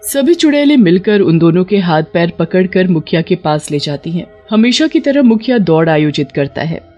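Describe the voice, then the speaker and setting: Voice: mid-range voice, big pitch swings, normal speed, mellow. A storyteller narrates a scripted horror cartoon in a mid-range voice. Music plays behind, and the sound is slightly dull.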